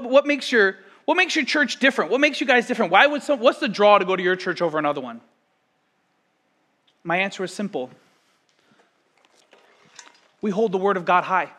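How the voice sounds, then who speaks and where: A man in his thirties preaching a sermon as pastor, talking conversationally and telling stories to the congregation. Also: high-pitched. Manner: conversational, storytelling, animated asides to congregation.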